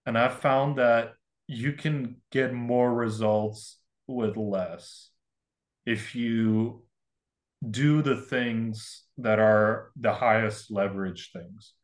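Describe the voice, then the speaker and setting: A young man from Russia tells stories on a podcast in a loose, rambling way. He mocks himself freely and talks it all out in a candid, casual voice at an everyday pitch. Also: fairly even intonation, extremely slow. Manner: rambling, candid, self-mocking storytelling. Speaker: painter guest.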